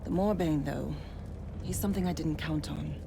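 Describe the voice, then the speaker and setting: A woman's voice: low voice, normal speed, gravelly, noisy background. A woman playing a hardened, frank rebel ex-spy in naturalistic video game dialogue, blunt, wry and earnest. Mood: wry, matter-of-fact.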